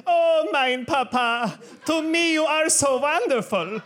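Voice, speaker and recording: A woman's voice: high-pitched, expressive, a little slow, a bit bright, slight background noise. A woman playing a squabbling, possessive young brother in improvised fairy-tale comedy, playful and mock-whiny. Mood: pleading, silly. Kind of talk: improvised comedy storytelling acting.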